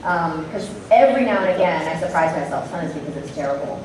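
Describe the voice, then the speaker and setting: Soft-spoken woman in an echoey hall. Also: deep voice, animated, fast, distant mic, background noise. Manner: hesitant, many ums, thoughtful. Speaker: voice actress panelist. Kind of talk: convention panel answers. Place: convention panel room.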